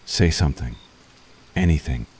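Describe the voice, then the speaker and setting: Witch hunter, played by a man: extremely low, mellow voice, conflicted and intense, deliberate delivery for an ASMR roleplay. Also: expressive. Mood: troubled, questioning. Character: brooding, conflicted male witch hunter in love. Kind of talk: ASMR roleplay, scripted monologue to listener.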